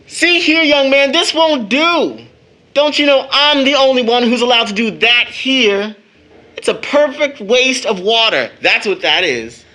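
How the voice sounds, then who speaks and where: A man's voice: very high-pitched, animated, measured pace, pushed and bright, exaggerated voice. A man acting as a proud young uncle in an audio drama, with a theatrical, formal way of talking. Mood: mock indignation. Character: playful, teasing young uncle, mock-pompous, proud, later embittered.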